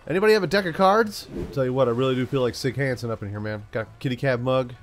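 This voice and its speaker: A man hosting a gaming channel, chatting playfully through a let's-play, stuffed up from a cold and now and then yelling out excited bursts. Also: medium pitch, very fast, soft background music. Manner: playful, talks to himself, yells bursts like "send it". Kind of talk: casual let's-play commentary.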